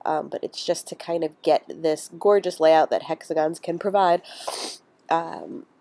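A woman's voice: deep voice, fairly even intonation, unhurried, mellow, dry recording. Congested woman narrating a craft project. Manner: chatty, with frequent ums and restarts. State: congested from a cold. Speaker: crafting vlogger.

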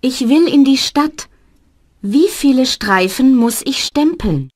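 A woman's voice: high voice, expressive, slow pace, a little mellow, exaggerated voice. Woman voicing a polite young student. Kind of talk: slow scripted learner dialogue.

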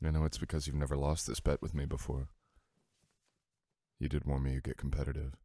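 A man playing a sweet, teasing boyfriend in an intimate ASMR audio roleplay, coaxing softly and unhurriedly. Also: very deep voice, flat delivery, a little fast, husky, no echo, full character voice. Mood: tender coaxing.

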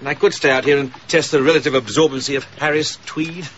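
An actor playing a wry, awkward English gentleman in a radio drama, polite and hesitant with dry wit. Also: fairly high pitch, steady tone, brisk, lo-fi sound, slight background noise, lightly acted. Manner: dryly witty, hesitant, polite.

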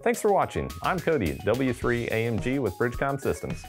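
A man's voice: medium pitch, wildly swooping pitch, fairly quick, faint music behind. A man in his twenties giving a casual product demo and chatting on a handheld radio, recorded indoors on a lapel mic. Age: 20s. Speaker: presenter demonstrating ham radio gear. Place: indoor studio with wood-panel backdrop.

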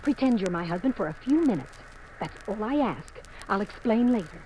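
A woman's voice: medium pitch, animated, moderate pace, dark tone, close to an everyday voice, low-quality recording. An actress playing an urgent, secretive young woman in a 1950s radio drama. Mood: urgent, pleading. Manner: pleading, insistent. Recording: old radio recording, faint noise.

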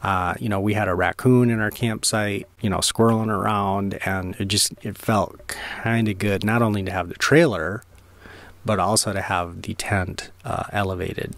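Low-voiced man in casual voice-over. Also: steady tone, moderate pace, a bit bright. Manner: laid-back, colloquial, frequent "like" and slang. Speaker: vlogger reviewing camping gear. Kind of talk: casual first-person voice-over.

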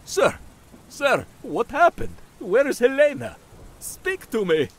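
A genteel gentleman host played by a man in a gothic horror radio drama. He is courteous, theatrical and quick to alarm, with a very animated, swooping delivery. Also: very high voice, pitched above the performer's own voice, full character voice. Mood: alarmed, shouting. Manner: polite, old-fashioned, theatrical.

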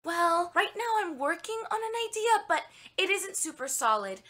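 A woman playing a brisk, organizing young college friend in a web cartoon. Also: very high voice, very animated, pushed and bright, full character voice. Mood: brisk, businesslike. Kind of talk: animated web cartoon acting.

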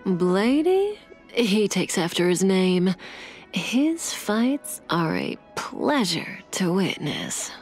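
An actress playing a calm, seductive female assassin in a video game, with a breathy, velvety voice and a languid, teasing delivery. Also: mid-range voice, drawn-out words, heavily acted. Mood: calm, reflective. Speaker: game character voice actor. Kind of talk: scripted video game character voice lines. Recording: clean studio voice over game music.

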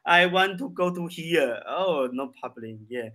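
Slow, halting Chinese-accented man. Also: fairly high pitch, lively intonation, bright, forceful tone. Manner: halting, simple broken English with repeated phrases. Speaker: English learner. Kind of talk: casual English practice conversation. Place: small room indoors, video call. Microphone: desk microphone.

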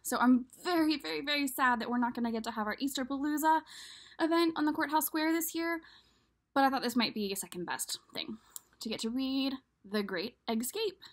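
Higher-pitched woman chatting to kids. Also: fairly quick. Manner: conversational, speaking directly to young viewers. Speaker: children's storyteller. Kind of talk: casual chat introducing a picture book to children. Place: small room at home, indoors.

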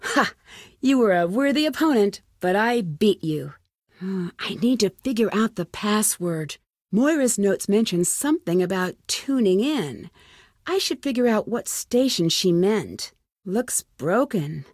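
A woman's voice: medium pitch, sing-song, a little slow, no echo. Inquisitive young female sleuth for an adventure game: earnest, plucky musing to herself, close dry sound. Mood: pleased. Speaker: lead character in a detective adventure game. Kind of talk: scripted game dialogue, thinking aloud.

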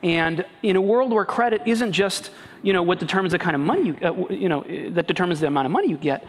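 A man in his thirties, originally from Peru, makes his points with earnest emphasis and frequent restarts on a panel stage. His voice sits a little high for a man and carries a long hall echo with faint background noise. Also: lively intonation, fast. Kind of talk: panel discussion. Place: large banquet hall on stage. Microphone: headset mic.

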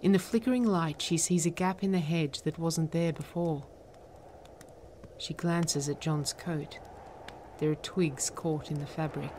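Female narrator, low register, reading scripted stage directions for a drama. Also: fairly even intonation, unhurried, darkish tone, a little hiss or hum.

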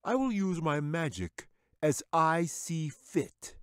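A man's voice: on the high side, big pitch swings, slow pace, a bit bright, clean tone, no echo, studio quality, pitched above the performer's own voice, heavily acted. A man voicing a smug, haughty male wizard ruler who speaks in a condescending, imperious way.

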